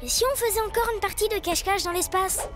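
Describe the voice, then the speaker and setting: An actor voicing an eager, excitable little child in a children's space cartoon. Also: very high voice, fast talker. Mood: playful. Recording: loud music bed behind. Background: loud music.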